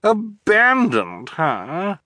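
A man voicing a vain, cruel, stylish villainous woman in an audiobook, theatrical and gushing, with drawn-out exclamations. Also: mid-range voice, very animated, fairly bright tone, no room sound, voice pushed up. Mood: shrieking glee. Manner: purring, shrieking, drawn-out exclamations.

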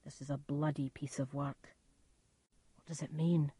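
A suspicious storybook nobleman, played by a woman. He muses in a low, quiet voice, slow and brooding. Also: flat delivery, breathy edge, dry recording, heavily acted. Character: wary, brooding Scottish nobleman.

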